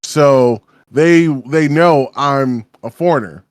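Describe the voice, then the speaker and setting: A man's voice: medium pitch, slow pace, smooth, no echo. A man co-hosting a casual podcast from a small home studio, rambling and swearing with lots of fillers. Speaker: podcast co-host.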